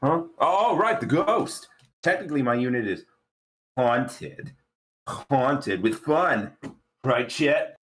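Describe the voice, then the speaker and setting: A man playing an anxious, eager young man in a comedy screenplay read, with halting, emphatic delivery. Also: medium pitch, animated, fairly bright tone, voice pushed up. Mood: forced cheer.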